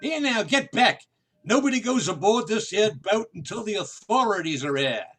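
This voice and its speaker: A man's voice: high-pitched, expressive, moderate pace, background noise. An actor playing an alarmed, bossy dockside watchman in a 1930s-style radio play.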